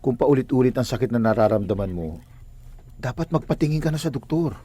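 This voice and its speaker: A man's voice: medium pitch, animated, brisk, over loud music, close to an everyday voice. An actor playing a concerned, hesitant younger male cousin in a radio drama.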